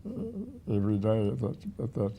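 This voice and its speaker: A man of about a hundred with a husky, aged voice, reminiscing haltingly as a retired museum director. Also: medium pitch, huge pitch swings, mellow. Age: 100. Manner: halting, with repeated words and pauses.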